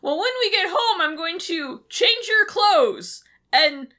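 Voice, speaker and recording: A woman's voice: very high-pitched, expressive, slow, pushed and bright, voice pushed up, full character voice. A woman voicing a fawning, clingy, lovestruck male butler from a cartoon, melodramatic and gushing. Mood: doting.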